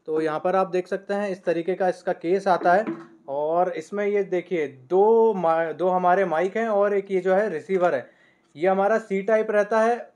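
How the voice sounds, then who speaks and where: Higher-voiced young man reviewing his gear. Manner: relaxed, many "uh" fillers, shows each item. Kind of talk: casual product review to camera. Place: small indoor room at a desk. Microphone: podcast mic on a boom arm by the desk.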